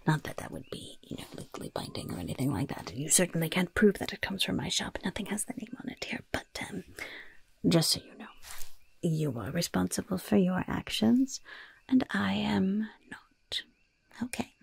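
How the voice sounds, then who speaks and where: Casting: woman as a wicked, chatty goblin shopkeeper for ASMR roleplay. Heavily creaky, whispered delivery, sly and courteous. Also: on the low side, huge pitch swings, normal speed, dry recording, full character voice. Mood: slyly evasive. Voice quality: breathy, husky whisper with gravelly creak. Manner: sly, polite, hesitant patter with repeated words. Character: gleefully wicked, chatty goblin shopkeeper selling poisons and curses.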